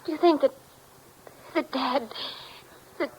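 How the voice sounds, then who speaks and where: A woman's voice: high voice, slow pace, darkish tone. An actress with an American accent playing an anxious, fragile young woman, hesitant and pleading, in 1940s radio drama style. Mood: distraught, pleading. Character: anxious, fragile young daughter of a missing professor. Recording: noisy old radio recording.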